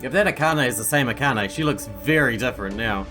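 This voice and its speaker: A man's voice: medium pitch, expressive, fairly quick, pushed and bright. A man giving casual let's play commentary on a video game. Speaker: gaming video host. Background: video game music and dialogue.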